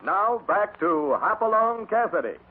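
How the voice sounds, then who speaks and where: A man's voice: sits high, expressive, a little slow. An American man announcing in a 1950s radio style on an old recording. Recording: tinny old radio recording, music behind.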